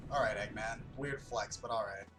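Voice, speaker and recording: A man's voice: on the low side, normal speed, fairly bright tone, soft background music. A man giving casual, sarcastic commentary while playing a video game.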